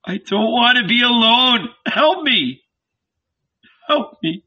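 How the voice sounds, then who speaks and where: A man's voice: very high voice, expressive, slow pace, bright, forceful tone, dull audio, voice pushed up, full character voice. A man voicing a male hermit who pleads and cries out to God in anguish. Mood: weeping. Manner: pleading, rising to tearful cries.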